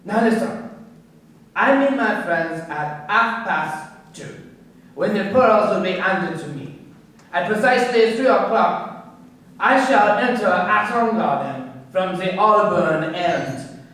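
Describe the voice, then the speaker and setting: An actor playing a wary, menacing foreign informer in a radio crime play, speaking formally and deliberately. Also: male voice, high-pitched, animated, a bit bright, exaggerated voice. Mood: wary, commanding. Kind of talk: radio crime drama acting. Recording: very echoey, distant-sounding room recording.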